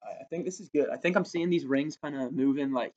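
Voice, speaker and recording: Young man, eighteen, loud and rambling, drawl, casual school podcast co-host. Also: on the high side, fast talker, lo-fi sound. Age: young adult. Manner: loud, rambling, self-interrupting. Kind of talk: casual chat.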